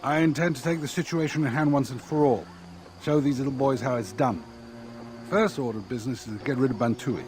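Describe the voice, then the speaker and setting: A man's voice: medium pitch, brisk, noisy background, close to an everyday voice. A man playing a self-assured, condescending faction boss in a video game, scheming and self-satisfied as he hands out orders. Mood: smug, scheming. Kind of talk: video game cutscene acting.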